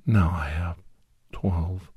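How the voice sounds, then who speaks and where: A man playing a grave, anxious doctor and old soldier telling a battle story in hushed, halting, breathy ASMR narration that often drops into whispers. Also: sits low, steady tone, no echo, full character voice. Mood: grave, regretful. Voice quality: breathy, husky. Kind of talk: ASMR first-person dramatic story narration.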